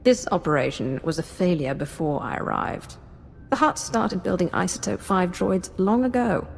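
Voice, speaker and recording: A woman's voice: lowish voice, lively intonation, normal speed, vocal fry, soft background music. Cold, imperious female Sith lord. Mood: cold, commanding. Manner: haughty, commanding, menacing. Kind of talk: scripted video game acting.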